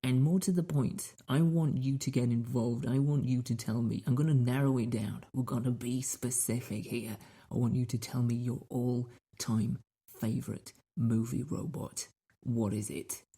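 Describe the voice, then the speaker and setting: A man's voice: mid-range voice, fairly even intonation, normal speed, mellow, husky. A man hosting a podcast in casual, chatty style, playfully repeating phrases and putting rhetorical questions to listeners. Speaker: podcast host.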